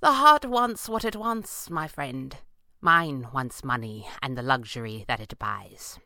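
A man's voice: medium pitch, animated, measured pace, gravelly, bright, forceful tone, dry recording, studio quality, exaggerated voice. A man voicing a greedy, cruel villain in a pulp adventure audiobook, speaking with menacing mock courtesy. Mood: disdainful. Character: oily, greedy male villain, smooth-talking, cruel mercenary.

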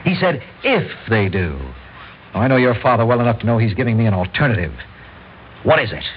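An actor with an American accent playing a proud, embattled district attorney with a clipped, questioning manner in classic radio drama style. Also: male voice, mid-range voice, sing-song, brisk, close to an everyday voice. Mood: tense, demanding. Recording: muffled old radio recording, faint hiss.

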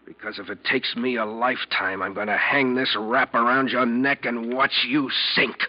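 A man playing a bitter, wronged ship's mate with a husky voice in a 1940s radio drama. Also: mid-range voice, steady tone, moderate pace, close to an everyday voice. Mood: bitter, vengeful. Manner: bitter, accusing. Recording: muffled old 1940s radio recording.

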